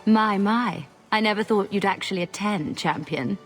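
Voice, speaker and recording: A woman's voice: mid-range voice, normal speed, soft background music, close to an everyday voice. A woman voicing a poised, gossipy society guest in a video game cutscene. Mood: amused discretion.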